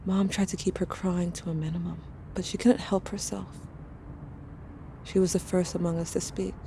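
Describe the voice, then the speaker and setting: A woman's voice: lowish voice, little pitch movement, moderate pace, mellow, a little hiss or hum, lightly acted. An actress playing an angry, grieving teenage girl, reading out diary-like letters in a blunt, sardonic way for an audio drama. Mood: hurt, tense. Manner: sardonic, confessional, bluntly informal. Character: angry, grieving teenage girl writing to her dead sibling.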